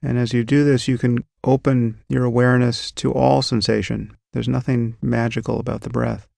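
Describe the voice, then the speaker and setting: A man calmly guiding a meditation, giving slow, gentle instructions about breath and sensation. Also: mid-range voice, creaky, no room sound, studio quality. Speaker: meditation guide. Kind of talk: guided meditation.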